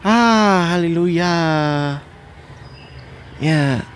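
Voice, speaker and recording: A man's voice: a little high, very slow, a little hiss or hum. A man playing a sleepy, cuddly husband in an intimate ASMR roleplay, drowsy, affectionate and rambling, with playful melodrama. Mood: mock wounded.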